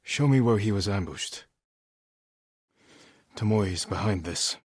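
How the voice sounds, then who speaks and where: A stoic samurai hero from a video game. His voice is low and husky, recorded very dry and close. He speaks with grave, measured restraint and honorable determination. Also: animated, no room sound. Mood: grave, resolute. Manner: measured, earnest, restrained delivery. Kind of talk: scripted video game voice acting.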